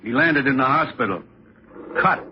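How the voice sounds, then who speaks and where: A man's voice: medium pitch, animated, normal speed, background noise, close to an everyday voice. World-weary roadhouse owner, man acting, muffled old radio. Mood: weary, cautioning. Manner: colloquial, blunt, resigned. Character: world-weary, resigned male roadside joint owner. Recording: muffled vintage radio broadcast, music behind.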